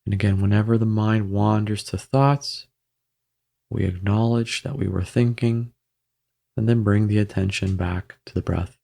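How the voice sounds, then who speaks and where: A man guiding a meditation in a gentle, measured way, with frequent pauses between phrases. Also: lowish voice, steady tone, gravelly. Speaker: meditation guide. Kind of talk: guided meditation narration.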